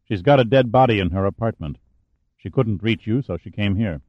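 A man's voice: on the low side, expressive, a little fast, no room sound, close to an everyday voice. A male narrator playing a tough, blunt man who talks in a curt, sardonic way. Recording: dull, muffled dry recording.

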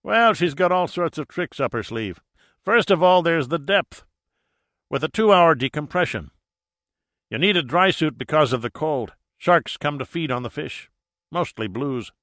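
Guy doing a blunt old-salt sea captain for an audiobook, pitched up, bright and punchy, talks colorful. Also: animated, moderate pace, dry recording, studio quality. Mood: grave warning. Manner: colloquial, salty, vivid storytelling. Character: hard-boned Irishman sea captain, hearty, blunt, seasoned diver. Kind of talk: audiobook character dialogue.

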